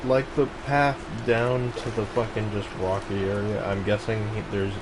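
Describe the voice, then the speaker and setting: A man's voice: on the low side, little pitch movement, moderate pace, over music. A man giving casual, offhand let's play commentary, as if muttering to himself. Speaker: gamer commentating. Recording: very noisy background, game ambience.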